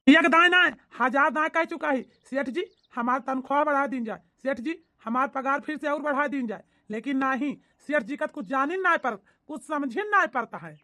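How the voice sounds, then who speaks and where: A woman's voice: high voice, bright, forceful tone. A woman voicing plain-spoken villagers in a family comedy cartoon, with an emphatic, declamatory Awadhi-accented delivery. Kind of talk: exaggerated cartoon comedy dialogue.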